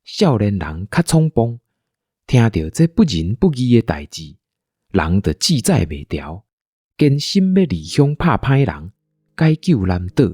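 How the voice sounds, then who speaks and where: A man's voice: mid-range voice, big pitch swings, measured pace, mellow, husky, no room sound, studio quality. A man with a Taiwanese accent narrating a scripted radio drama as a storyteller.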